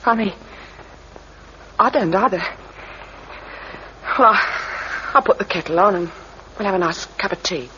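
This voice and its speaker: A woman's voice: medium pitch, unhurried, pauses often, noisy background, natural voice. An actress playing a tired but kindly young woman of the streets in an old radio crime drama, earnest, on a muffled recording. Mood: tender, flustered. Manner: earnest, plain-spoken.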